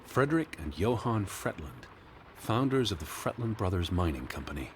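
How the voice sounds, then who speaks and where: A low-pitched, earnest gentleman of the 1920s. He is bookish and anxious, choosing his words formally and precisely. Steady noise sits behind his voice. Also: normal speed. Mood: sober, informative. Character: weary, anxious, bookish middle-aged gentleman searching for his sister. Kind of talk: 1920s period drama video game acting.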